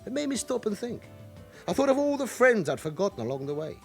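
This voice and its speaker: An actor playing an evasive, chatty forty-year-old London club owner with an underworld past in a radio drama. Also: male voice, high voice, sing-song, fast talker, creaky, faint music behind, heavily acted. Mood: affable, guarded.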